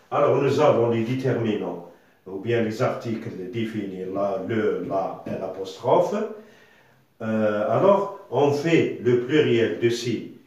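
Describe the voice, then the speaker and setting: A man whose first language is Arabic, teaching a slow, deliberate grammar lesson, repeating words and checking that the class follows. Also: mid-range voice, a little mellow, dull audio. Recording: echoey room, far from mic.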